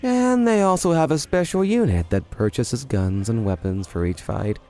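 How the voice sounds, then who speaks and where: An actor plays a shrewd male crime informant from a manga cartoon. He is cool and businesslike, laying things out in measured phrases over music. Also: medium pitch, a little mellow, natural voice. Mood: grave, informative. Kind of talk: animated manga dub dialogue.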